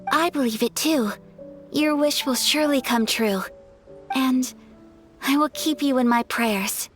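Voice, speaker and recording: A woman voicing a polite, graceful young noblewoman in a video game, speaking formally and softly. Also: sits high, a little slow, faint music behind. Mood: sincere. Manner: formal, soft-spoken, courteous.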